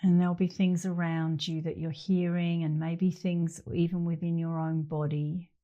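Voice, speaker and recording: A middle-aged woman leading a guided meditation as an instructor, speaking gently and leaving long pauses between phrases. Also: on the low side, little pitch movement, highs cut off.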